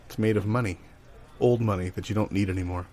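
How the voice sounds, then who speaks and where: A man improvising a comic anecdote in a deadpan, matter-of-fact, halting way. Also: on the low side. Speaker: improv comedy performer.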